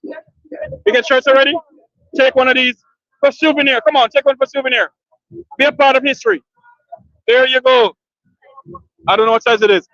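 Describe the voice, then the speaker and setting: High bright man interviewing on the street. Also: steady tone, moderate pace, long pauses, dry recording. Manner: upbeat, repeats questions, keeps thanking people. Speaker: street interviewer and show host. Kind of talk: live street interviews at a protest. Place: outdoors on a wet street. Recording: dull lo-fi outdoor recording.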